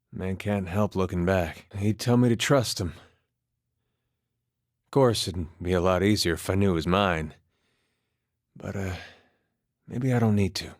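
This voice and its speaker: A man's voice: on the low side, no room sound, clean studio sound, lightly acted. A man voicing a laid-back, wry farmer turned soldier in a video game, with a plainspoken, understated folksy manner. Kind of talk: performed game dialogue.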